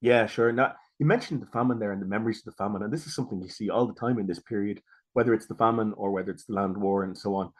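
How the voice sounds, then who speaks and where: A man's voice: on the low side, rapid-fire. An Irish-accented man hosting a history podcast, summing up points and putting questions to a guest conversationally. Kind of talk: conversational interviewing.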